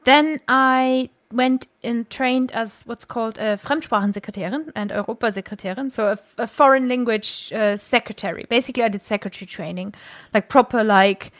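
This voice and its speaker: A woman's voice: a little high, normal speed, no echo. A woman, chatting as a podcast guest and thinking aloud with frequent self-corrections. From Germany. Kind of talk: casual conversational storytelling.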